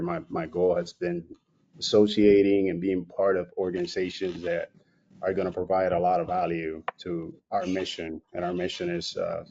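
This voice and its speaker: A deep, mellow-voiced middle-aged man from Puerto Rico, talking loosely and stopping often to restart his sentences. He comes through a dull, lo-fi video call line. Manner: informal, with many fillers and restarts. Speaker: company president. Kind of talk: presentation at an online meeting.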